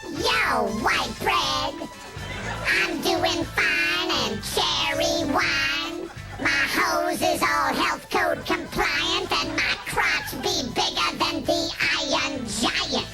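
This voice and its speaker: A man's voice: extremely high pitch, drawn-out words, over loud music, exaggerated voice. A man playing a swaggering, boastful robot pimp who talks in slangy rhyming jive for a comedy sketch.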